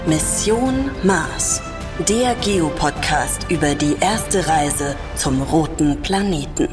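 A woman announcer reads scripted lines very slowly and measuredly, in a lowish voice. Loud music plays clearly beneath her. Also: lively intonation. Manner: slow, measured. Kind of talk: scripted narration.

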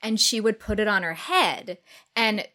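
A woman's voice: mid-range voice, measured pace, bright, forceful tone. A middle-aged woman guest chatting casually on a podcast, telling stories and correcting herself as she goes. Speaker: podcast guest, writer and actor. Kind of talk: casual chat.

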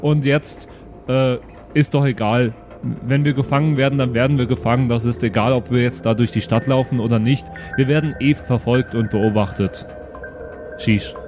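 A man's voice: medium pitch, fairly even intonation, moderate pace, a little mellow, faint music behind, lightly acted. A man playing a curious, stubborn son who argues naively and colloquially with his papa in an improvised audio drama. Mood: stubborn, impatient.

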